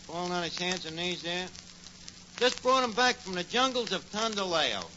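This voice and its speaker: An actor playing a boastful, bumbling tavern manager with a New York accent and mangled grammar, in a 1940s radio comedy. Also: male voice, sits high, lively intonation, moderate pace. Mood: defensive, showy. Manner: blustering, malapropism-prone, mangled grammar. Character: boastful bumbling tavern manager, a tall-tale-spinning phony. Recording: noisy muffled old radio recording.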